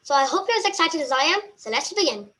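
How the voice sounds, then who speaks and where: A polite young woman with a very high voice leads an interview. She reads her prepared questions and says thank you again and again. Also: expressive, fast talker, pushed and bright, rough recording.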